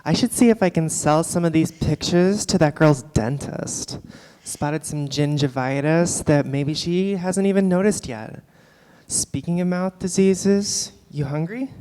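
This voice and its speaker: A woman's voice: low-pitched, dark tone, slight background noise. A woman playing a blunt, practical photographer in a staged play reading, speaking in a dry, matter-of-fact way. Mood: dry, casual. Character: blunt, practical, exasperated female photographer and fixer. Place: live on a theatre stage. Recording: reverberant theatre hall.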